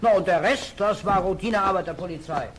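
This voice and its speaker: A man's voice: sits high, brisk, slight background noise, close to an everyday voice. A man playing a confident, matter-of-fact policeman in a German children's audio drama. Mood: satisfied.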